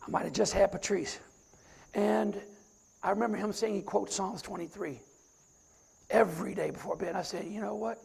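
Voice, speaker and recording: Raspy-voiced preaching deacon, gravelly and high. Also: male voice, steady tone, moderate pace, pauses often, a little mellow. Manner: recites scripture from memory with rising emphasis. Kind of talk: sermon.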